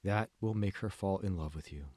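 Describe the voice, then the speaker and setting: A man playing a calm, manipulative male mentor in an audiobook, speaking slowly and deliberately with hushed, condescending questions. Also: low voice, dry recording, clean studio sound, pitched below the performer's own voice. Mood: teasing, controlled. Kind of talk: dramatic audiobook dialogue.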